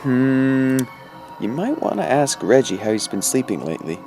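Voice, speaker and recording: A man's voice: medium pitch, sing-song, moderate pace, gravelly, music in the background. An actor playing a wry, teasing teenage boy ghost in an audio drama, with slangy, needling delivery. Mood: wry, sly. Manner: slangy, laid-back, needling.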